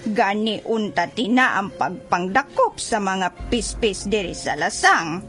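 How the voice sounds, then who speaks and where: A woman's voice: medium pitch, very animated, unhurried, fairly bright tone, soft background music. A woman acting a mysterious, solemnly admonishing old woman of the forest in a radio drama, with a Western Visayan Filipino accent. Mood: solemn warning.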